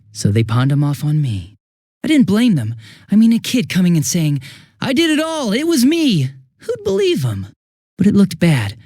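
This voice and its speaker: A smug, swooping villain. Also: male voice, on the high side, darkish tone, no room sound, clean studio sound. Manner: sarcastic, taunting, theatrical swings between bored drawl and giddy mockery. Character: smug, mocking young male detective turned murderous villain. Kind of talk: video game voice acting.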